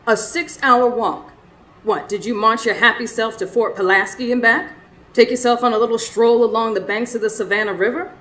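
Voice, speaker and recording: An actress playing a feisty, no-nonsense Southern wife in a radio drama, brisk and commanding. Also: female voice, fairly high pitch, a bit bright, lightly acted. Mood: exasperated. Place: stage before a live studio audience.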